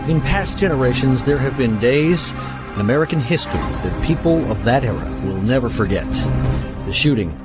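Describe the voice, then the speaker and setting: A man's voice: mid-range voice, lively intonation, normal speed, loud music behind the voice. A man giving solemn, dramatic scripted memorial narration. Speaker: documentary narrator.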